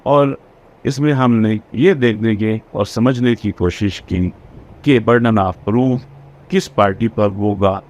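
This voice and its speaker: A man giving a recorded law lecture. He reads English passages slowly and then restates them in his own words. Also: fairly low pitch, darkish tone, muffled recording.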